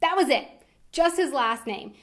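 A young American woman presenting a vlog to camera in an expressive, emphatic manner. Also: high voice, measured pace. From United States. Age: young adult. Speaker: video host. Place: indoor room with decorated wall backdrop.

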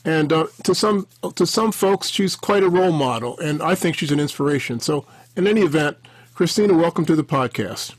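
An older man hosts a podcast interview, speaking in a high, husky voice. His sound is dry and close, picked up by a headset. He rambles and restarts his sentences as he goes. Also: lively intonation, fairly quick, no room sound, rough recording. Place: home office with bookshelves. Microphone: headset on video call.

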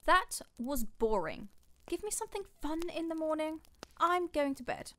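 A spoiled teenage princess, with a piercing, bright voice pitched high. She whines and orders people about like a toddler in this fantasy audio drama. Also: very animated, moderate pace. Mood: bossy, sulky. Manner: whiny, bossy, childish. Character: spoiled fifteen-year-old princess with a toddler's temperament.

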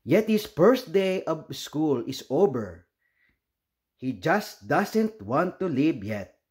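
A man from the Philippines reads a picture book aloud as a storyteller. He takes his time over each line, and his pitch moves up and down a great deal. He plays out dialogue and sound words expressively. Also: very animated, takes it slowly, darkish tone. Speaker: children's storybook reader and host.

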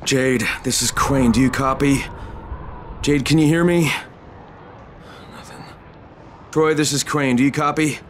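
A man's voice: medium pitch, steady tone, unhurried, pauses often, lightly acted. Man playing urgent video game hero, echoing reverb. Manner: urgent, blunt. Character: determined, worried male survivor-agent searching for a friend. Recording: long reverb, faint background noise.

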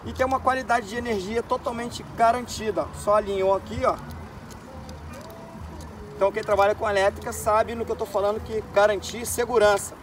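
Guy with a high voice hyping up his electrical gear, sounds far from the mic, music going in the background. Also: fairly even intonation, brisk. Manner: salesy, pitching safety and quality. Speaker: exhibitor demonstrating electrical connectors. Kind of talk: casual sales demonstration. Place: outdoor campground event.

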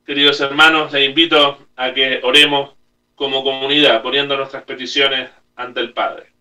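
Bright-voiced priest preaching from across the room. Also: male voice, medium pitch, little pitch movement, normal speed, distant mic, poor audio. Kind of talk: homily and prayers at a home-recorded Mass. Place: small room at home, indoors. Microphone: camera a few metres away.

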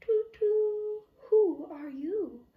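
A woman's voice: extremely high pitch, animated, phone-quality sound, voice pushed up, exaggerated voice. A woman voicing a hooting owl from a children's storybook, drawing out its words. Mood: curious, hooting. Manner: hooting, drawn-out questions. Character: hooting owl living up a tall tree. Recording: roomy, echoey, distant microphone.

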